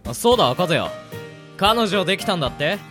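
A man playing a level-headed, considerate teenage friend in a radio drama, with music clearly heard behind. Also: high-pitched, very animated, a little fast, a bit bright. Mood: calm, friendly. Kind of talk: amateur radio drama acting.

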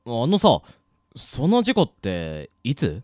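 A man's voice: mid-range voice, wildly swooping pitch, slow pace, a little mellow, no echo, clean studio sound, heavily acted. A man acting as a blunt, probing young male friend with casual, direct speech in a Japanese audio drama. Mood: suspicious, concerned.

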